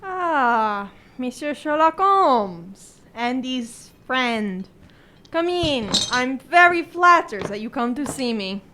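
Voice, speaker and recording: A woman plays a proud French police inspector in a radio drama, high-pitched and very animated. The delivery is formal and haughtily polite, over a faint noisy background. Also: takes it slowly, exaggerated voice. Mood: courteous, smug. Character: proud, courteous but dismissive male French police inspector.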